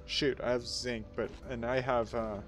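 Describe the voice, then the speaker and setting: A man's voice: mid-range voice, little pitch movement, moderate pace, music in the background. A man co-hosting a game recording, talking casually and hesitantly, with many fillers. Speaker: let's-play co-host. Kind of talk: casual gaming chat.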